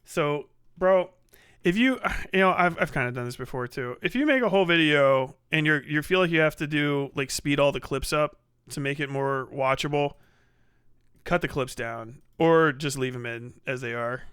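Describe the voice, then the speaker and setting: Male livestream reactor: clear, fairly high voice, dry close sound, casual, blunt, sweary. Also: moderate pace, a bit bright, no echo. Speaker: livestream host.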